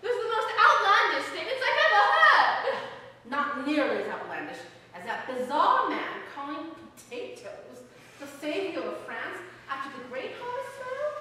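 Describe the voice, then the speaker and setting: A woman's voice: sits high, big pitch swings, unhurried, sharp tone, slight background noise, heavily acted. An actress playing an amused, frivolous aristocratic woman who talks in a gushing, affected way. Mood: teasing. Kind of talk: audio drama acting. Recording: echoey hall, voice from far off.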